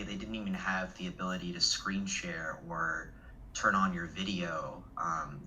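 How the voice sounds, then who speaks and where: A man's voice: low-pitched, pushed and bright, a little hiss or hum, poor audio. A man explaining something casually, with "um" and "you know" fillers.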